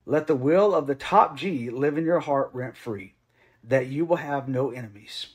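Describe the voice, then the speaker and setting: A man's voice: medium pitch, normal speed, close to an everyday voice. A man playing a dramatic reader of parody scripture, declaiming slang verse in an emphatic, mock-earnest rhythm.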